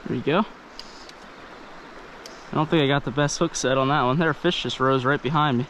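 Outdoors fishing vlogger, male, casual and excited, action-cam audio with a soft river hiss. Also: mid-range voice. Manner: chatty, excited, talks to the fish. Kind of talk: casual narration while fishing. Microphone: head-mounted action camera. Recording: faint river noise outdoors. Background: rushing stream water.

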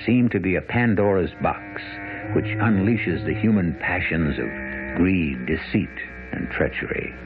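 Prominent music plays behind a dull radio recording of a male narrator. He is low-voiced and solemn, delivering a measured, dramatic introduction. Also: animated. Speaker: host and narrator.

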